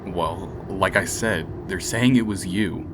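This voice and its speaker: Man acting a low-voiced, protective ex-boyfriend, noisy backdrop. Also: slow pace. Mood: reassuring. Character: protective, remorseful young ex-boyfriend, loyal and steady. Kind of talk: radio drama dialogue. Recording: clear background noise.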